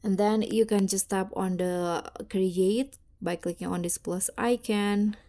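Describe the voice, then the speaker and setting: A woman hosting a casual step-by-step tutorial, narrating in a halting, deliberate way. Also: medium pitch, fairly even intonation, mellow. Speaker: tutorial host.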